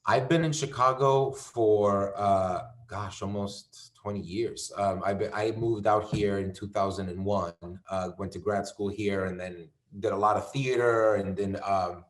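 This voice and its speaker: A man's voice: on the low side, steady tone, a little slow, few pauses. A man from New York chatting casually as an actor guest, rambling with lots of fillers and restarts. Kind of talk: casual conversation. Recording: echoey room.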